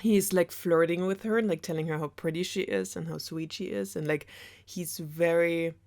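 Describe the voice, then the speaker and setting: Female storyteller, slightly low voice. Chatty, sarcastic, loose and rambling delivery, prone to giggles. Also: steady tone, moderate pace, a little mellow. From Germany. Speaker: storyteller host of a web series. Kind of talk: casual sarcastic fairy tale retelling.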